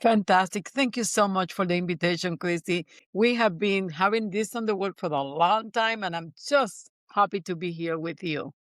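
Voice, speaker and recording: A woman's voice: medium pitch, normal speed, hardly any pauses, fairly bright tone, dry recording, studio quality. Woman guest. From Puerto Rico. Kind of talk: storytelling interview.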